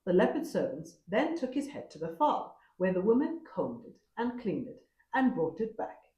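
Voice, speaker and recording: A woman storyteller narrating a folktale expressively, with a dramatic, deliberate manner. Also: medium pitch, sing-song, dark tone, roomy sound.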